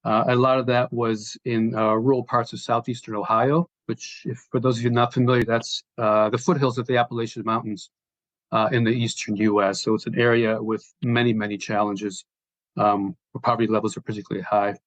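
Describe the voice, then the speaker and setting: A middle-aged man with an American accent, giving a measured, reflective, conversational account as an interview guest. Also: mid-range voice, level tone, dry recording, rough recording. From United States, born in Slovenia. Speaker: interview guest, sports sustainability executive.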